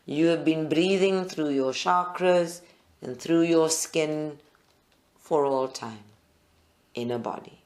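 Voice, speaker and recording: A meditation guide leads a live group session in short, deliberate phrases with pauses between them. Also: mid-range voice, darkish tone.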